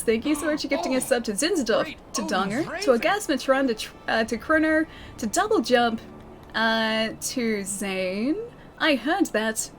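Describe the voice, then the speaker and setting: A young woman streaming a game and chatting with her viewers, her voice a little croaky and scratchy from a sore throat, laughing often and calling people dude and man. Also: on the high side. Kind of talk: casual chat with live stream viewers.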